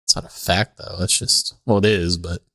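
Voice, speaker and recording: A man's voice: low-pitched, fairly even intonation, normal speed, bright, forceful tone, no echo. A man co-hosting a podcast with casual, irreverent comedic banter. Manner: irreverent, swears freely. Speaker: podcast co-host.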